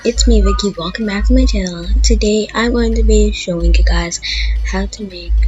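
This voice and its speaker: Female tutorial host, unhurried and informal, thinking aloud step by step; dull lo-fi mic with music underneath. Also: mid-range voice. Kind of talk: casual voiced software tutorial.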